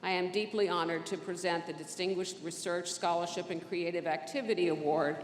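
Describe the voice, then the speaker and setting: A woman introducing an award winner at a commencement in a steady, formal reading voice, speaking into a podium microphone in a large indoor venue. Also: fairly low pitch, fairly quick, a little hiss or hum. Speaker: ceremony presenter. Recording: echoey hall, faint ambience.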